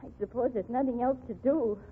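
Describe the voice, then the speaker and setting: A woman's voice: a little high, brisk, a little hiss or hum, lightly acted. A woman playing an anxious, indignant blackmail victim in an old-time radio crime drama, earnest and dramatic. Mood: reluctant. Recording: narrow-band, tinny old radio recording.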